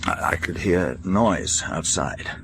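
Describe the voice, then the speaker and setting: An actor playing a grieving, tormented father in a radio drama, speaking in halting, repeated phrases. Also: low voice, expressive, a bit bright, a little hiss or hum, full character voice. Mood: haunted grief. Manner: halting, broken delivery, repeating himself. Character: grieving, tormented father, an American former prisoner of war.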